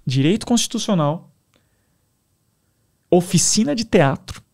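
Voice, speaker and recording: A young man with a Brazilian Portuguese accent, a podcast guest telling stories in a casual, animated way. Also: sits high, a little slow, gravelly. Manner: animated, enthusiastic storyteller. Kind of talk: casual storytelling.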